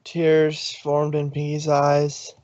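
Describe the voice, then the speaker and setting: A man's voice: on the high side, monotone, slow, a little mellow, dry recording. Man narrating a fan reading, dry lo-fi. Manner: plain reading with slips and laughs. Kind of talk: casual fan-fiction reading on a stream. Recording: dull dry lo-fi stream audio.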